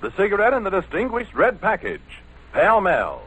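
A man's voice: high voice, wildly swooping pitch, normal speed, a bit bright, husky. A man announcing in dramatic, declamatory 1940s radio style, on a dull old broadcast recording.

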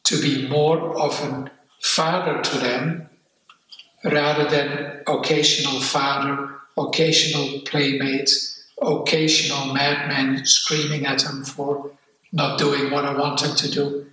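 An older man from the Netherlands with a Dutch accent, speaking candidly and haltingly about his life, repeating words as he goes. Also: a little high, level tone, pushed and bright, far from the mic. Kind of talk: personal reflective testimony. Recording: echoey room, off-mic.

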